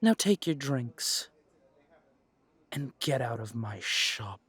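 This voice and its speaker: A man plays a protective elf shopkeeper in an audio drama. He speaks slowly and precisely, courteous yet unbending, in a dry recording. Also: medium pitch, sing-song, fairly bright tone, husky, no room sound, full character voice. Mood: commanding. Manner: measured, polite but firm. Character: composed elf shopkeeper, firm and quietly protective.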